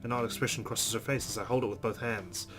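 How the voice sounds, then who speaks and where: Man, let's play streamer, reading story text aloud, rapid pace, amused asides, guitar music behind. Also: medium pitch, steady tone, fairly bright tone. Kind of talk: reading visual novel narration aloud with commentary. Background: guitar music from the game.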